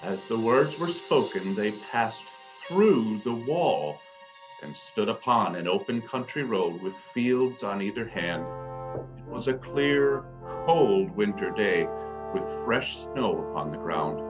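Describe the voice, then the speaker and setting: A man narrating a ghost story as a measured storyteller in a scripted dramatic reading. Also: fairly low pitch, expressive, music in the background, rough recording.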